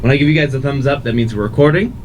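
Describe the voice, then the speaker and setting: A man with a medium-pitched voice gives casual, quick instructions as a studio engineer. There is faint noise behind him. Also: a little mellow.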